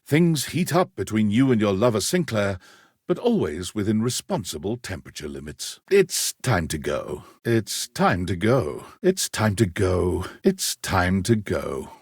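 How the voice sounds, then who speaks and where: Narrator for an absurd romance game: deadpan storyteller, slightly rough texture, animated pitch, very dry recording. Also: male voice, dry recording, heavily acted. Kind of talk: scripted narration.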